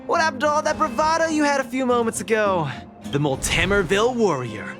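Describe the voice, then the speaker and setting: A man's voice: very high-pitched, a little fast, music in the background. A young man playing a cocky, upbeat champion fighter in a video game, with a boastful, theatrical, eager delivery. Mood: proud, upbeat. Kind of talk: animated video game voice acting.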